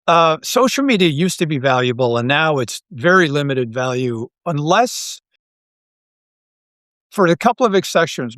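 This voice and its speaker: Higher-pitched middle-aged man, halting talker. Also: a bit bright, no echo, studio quality. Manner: halting, repeats and restarts phrases as he thinks aloud. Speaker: guest, audio drama writer and creator. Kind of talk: casual interview talk.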